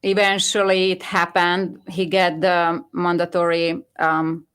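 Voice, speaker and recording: Earnest Hungarian-accented woman, lower voice. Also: monotone, fairly bright tone, smooth. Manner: earnest, halting non-native phrasing. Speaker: guest. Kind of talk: personal testimony on a talk show.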